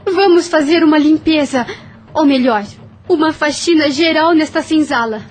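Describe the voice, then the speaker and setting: A woman's voice: sits high, moderate pace, a little hiss or hum, natural voice. A woman acting a young, compassionate and determined plantation mistress in an audio drama, earnest and commanding. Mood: resolute.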